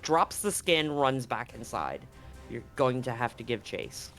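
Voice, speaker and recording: A man's voice: mid-range voice, sing-song, measured pace, a bit bright, faint music behind. A man game master narrating a tabletop horror game in vivid, graphic detail with slangy asides.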